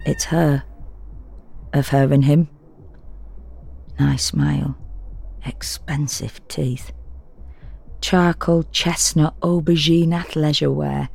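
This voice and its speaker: A woman playing an exhausted, fretful woman who narrates a confessional audio drama monologue in an intimate, wry, deliberate way. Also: low voice, level tone, gravelly, darkish tone, exaggerated voice. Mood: uneasy, wry. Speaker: narrator and actor.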